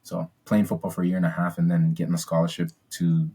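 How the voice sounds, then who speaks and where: Low-voiced young man from Ghana. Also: monotone, fast talker, mellow, husky. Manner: chatty, many ums and restarts, upbeat. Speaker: podcast guest. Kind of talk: casual interview storytelling.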